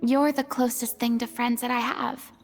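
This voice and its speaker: A woman's voice: on the high side, level tone, moderate pace, soft background music, natural voice. An actress playing a lonely, guilt-ridden young woman in a video game, speaking in a hesitant, confessional way. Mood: wistful.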